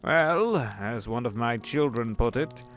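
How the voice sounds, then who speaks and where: An actor playing an ancient, suave devil posing as a gentleman, genteel and condescending in an audio drama. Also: medium pitch, expressive, measured pace, soft background music. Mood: genial. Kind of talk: fan audio drama acting.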